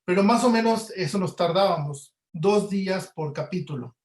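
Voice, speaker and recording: A man's voice: high-pitched, animated, moderate pace, darkish tone. A man with a Mexican Spanish accent giving casual, explanatory interview answers through everyday examples, as a guest audio producer. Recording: roomy, echoey webinar audio.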